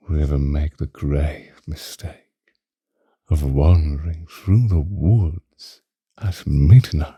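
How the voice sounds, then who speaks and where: Man as ancient male vampire, ASMR roleplay, rock-bottom pitch, husky breathy murmur, possessive, theatrical, languid, close dry mic. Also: warm tone, no echo, exaggerated voice. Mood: captivated, condescending. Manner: languid, archaic, theatrical, with lingering pauses. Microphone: close microphone, dry.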